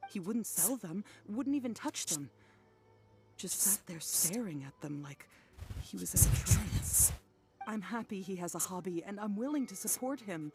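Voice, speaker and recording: A woman's voice: on the low side, close to an everyday voice. A woman voicing a worried middle-aged wife in a game, speaking wearily and apologetically. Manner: weary, apologetic, soft-spoken. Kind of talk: game voice acting.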